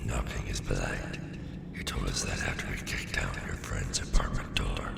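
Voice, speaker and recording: Very deep gravelly alien monster. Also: male voice, fairly even intonation, moderate pace, roomy sound, whispered, heavily acted. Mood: calm, menacing. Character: gruff alien symbiote, sardonic, mischievous monster speaking as "we".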